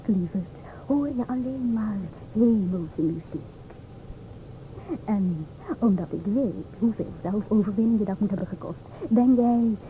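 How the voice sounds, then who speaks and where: An actress playing an outspoken, sharp-tongued woman in a Dutch radio play, with an assertive, mocking, theatrical delivery. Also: mid-range voice, lightly acted. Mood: coaxing, mocking. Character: outspoken, sharp-tongued divorced woman lecturing two inspectors. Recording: old radio, thin phone-like sound, noisy.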